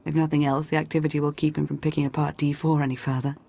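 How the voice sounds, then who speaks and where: An actress playing a composed intelligence chief in a video game, speaking formally and in a measured, articulate way. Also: female voice, low voice, little pitch movement, creaky, mellow, husky, exaggerated voice.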